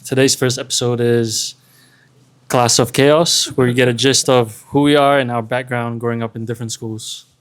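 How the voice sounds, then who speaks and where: A man's voice: medium pitch, level tone, normal speed, smooth. A young man hosting a casual podcast from a living room, upbeat and welcoming. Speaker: podcast host.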